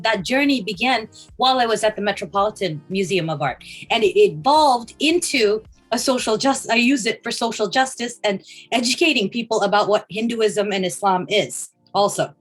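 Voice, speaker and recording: A woman's voice: fairly high pitch, fairly bright tone. A woman interviewed as a designer, answering at length with fillers and runs of lists. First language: English first language. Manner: listing, thinking aloud with fillers, occasional coughs.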